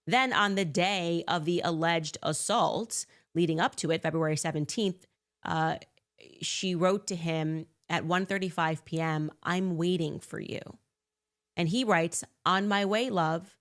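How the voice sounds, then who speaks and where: A woman hosts a commentary monologue in a low-pitched voice. She talks with a sarcastic, incredulous edge, throwing in rhetorical asides between points. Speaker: podcast host.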